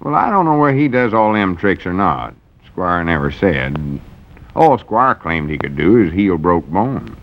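A folksy, gullible country fellow from a vintage radio comedy. His low voice swings freely up and down as he earnestly explains things in a rural Southern American drawl. The sound is dull, old lo-fi broadcast audio. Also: very animated, a little slow, full character voice. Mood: doubtful, regretful. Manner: drawling, folksy, rustic dialect. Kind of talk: 1940s radio comedy acting.

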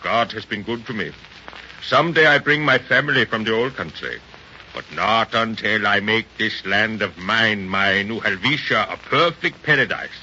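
Hearty, ambitious frontier landowner, expansive and proprietorial, piercingly bright, swinging delivery, 1930s radio drama. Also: male voice, fairly low pitch, lively intonation, moderate pace. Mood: proud, expansive. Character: John Sutter, hearty, ambitious immigrant settler and landowner. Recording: muffled, hissy 1930s radio recording.

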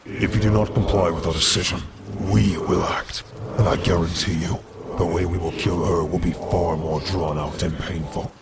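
A man's voice: steady tone, normal speed, heavy background noise. A man voicing a cold, commanding elder who delivers harsh verdicts. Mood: menacing. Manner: booming, commanding.

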